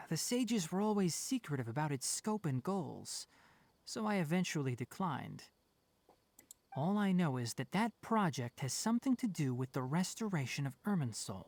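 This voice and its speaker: A woman voicing a composed young male scholar in an anime video game, measured, articulate and thoughtful. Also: deep voice, a little mellow, no room sound, exaggerated voice. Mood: calm, measured.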